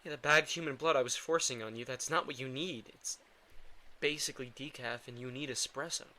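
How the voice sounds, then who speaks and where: A man's voice: medium pitch, a little fast, sharp tone. A man playing a guilt-ridden, protective male vampire in an intimate audio drama, with dry sarcasm and a weary, casual delivery. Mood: exasperated, sardonic.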